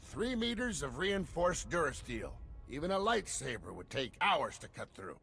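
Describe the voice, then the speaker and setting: An actor playing a gruff, irreverent veteran security chief, blunt and wry in an informal way. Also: male voice, a little high, sing-song, moderate pace, a bit bright, soft background music, exaggerated voice. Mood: brisk briefing.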